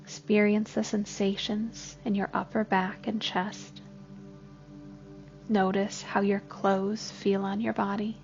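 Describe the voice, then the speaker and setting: A woman gently leading a scripted guided body scan meditation, giving unhurried instructions as a therapist. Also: mid-range voice, little pitch movement, gravelly, highs cut off. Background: soft music.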